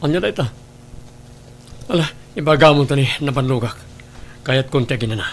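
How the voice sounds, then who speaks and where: A man's voice: medium pitch, animated, brisk, natural voice. Defensive Filipino husband played by a man, faint hum. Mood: defensive, irritated. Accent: Filipino, Ilocano. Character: evasive, defensive husband and father in family drama. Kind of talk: Ilocano radio-style drama acting.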